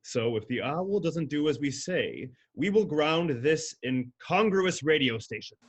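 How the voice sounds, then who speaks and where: A man's voice: a little high, lively intonation, measured pace. A man playing a menacing, theatrical flightless bird gang leader in a comedy table read. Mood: threatening. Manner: threatening, theatrical.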